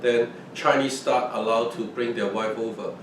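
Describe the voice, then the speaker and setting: A man's voice: medium pitch, fairly even intonation, moderate pace. An older man from Hong Kong, whose first language is Fujianese, recalling his early life in an oral history interview, with many restarts and repeated words. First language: Fujianese (Minnan). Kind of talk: casual recollection. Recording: echoey room, mic at a distance, faint noise.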